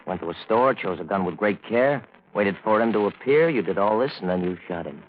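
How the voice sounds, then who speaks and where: An actor playing a blunt, matter-of-fact defense lawyer in an old radio drama. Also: male voice, fairly low pitch, expressive, a little fast, lightly acted. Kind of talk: 1940s-50s radio drama acting. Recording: muffled vintage radio recording.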